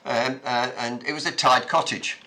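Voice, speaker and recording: A man, recalling his childhood in an unhurried, reflective way, pausing often with ums and uhs. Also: mid-range voice, fairly even intonation, bright, forceful tone. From Scotland. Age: 70s. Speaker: interviewee. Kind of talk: reminiscing conversation.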